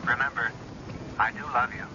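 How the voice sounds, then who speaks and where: Easygoing, devoted male friend played by an actor, medium pitch, reassuring, radio drama with clear noise and dull sound. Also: steady tone, moderate pace, natural voice. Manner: reassuring, gently teasing. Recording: noisy, muffled 1970s radio broadcast.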